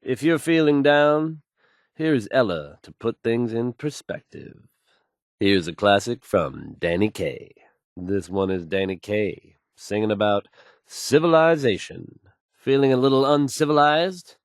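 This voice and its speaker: An actor playing a cool, self-assured young male radio DJ in a game, introducing songs with smooth, showy confidence. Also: medium pitch, a little slow, no room sound, studio quality, close to an everyday voice. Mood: confident, suave.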